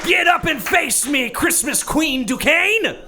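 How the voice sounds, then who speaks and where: An actor playing a grandiose, vengeful space villain boss in declamatory style in a live musical comedy. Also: male voice, extremely high pitch, lively intonation, takes it slowly, very noisy, full character voice. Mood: enraged. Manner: theatrical, declamatory. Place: live stage in a small theater. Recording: live theater stage with loud music.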